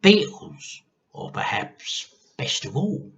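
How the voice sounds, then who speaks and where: A man voicing a nasty, gleefully menacing old grandmother in a storybook, with drawn-out, gloating delivery. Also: on the high side, big pitch swings, gravelly, fairly bright tone, dull audio, pitched above the performer's own voice, heavily acted. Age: older. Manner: drawn-out, gloating, sometimes throaty whispering.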